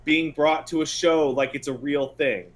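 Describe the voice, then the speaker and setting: A man's voice: fairly high pitch, moderate pace. A man co-hosting a video game podcast in casual conversation, giving blunt, sarcastic, exasperated opinions.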